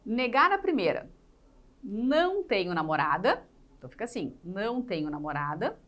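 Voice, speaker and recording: A woman's voice: medium pitch, big pitch swings, normal speed, fairly bright tone, dull audio. A woman teaching an exam-prep class step by step, repeating key points and putting questions to learners. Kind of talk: live lesson explaining exercises step by step.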